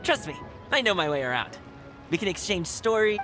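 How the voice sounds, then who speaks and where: A man's voice: sits high, big pitch swings, a little fast. An actor playing a cheerful, generous young male guard in a video game, who laughs often and talks in an easygoing way. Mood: friendly. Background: game music.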